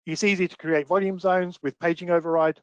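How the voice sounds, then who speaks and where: A man with a slightly high voice, recorded dry and close. He presents technical training in an explanatory style, working through each point step by step with practical examples. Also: little pitch movement, fairly quick, clean tone, no room sound. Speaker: webinar presenter, audio trainer.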